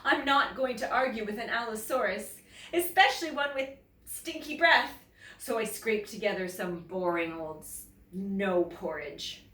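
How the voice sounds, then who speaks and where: A woman from Canada reading to children as a teacher, telling a story expressively and deliberately and putting questions to her listeners. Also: mid-range voice, pushed and bright. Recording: roomy, distant mic, faint noise.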